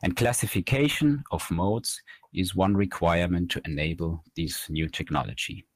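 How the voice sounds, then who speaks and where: A man's voice: deep voice, normal speed, husky, no room sound. A man in his thirties giving a technical slide lecture, explaining things step by step on a headset mic. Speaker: researcher giving a conference talk. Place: indoor room, recorded over webcam.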